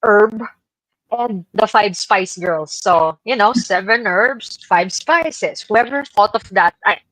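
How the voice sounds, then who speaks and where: A woman trades casual banter on a podcast panel. Her voice sits at an average pitch, and the recording is dry with almost no room sound. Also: animated, takes it slowly, a bit bright, dry recording, low-quality recording.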